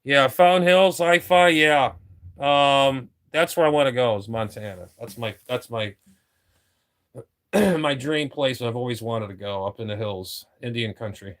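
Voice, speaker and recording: Middle-aged man, mid-pitched, loose rambling live-stream chatter from his home radio room, complaining and repeating himself. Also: unhurried, a bit bright.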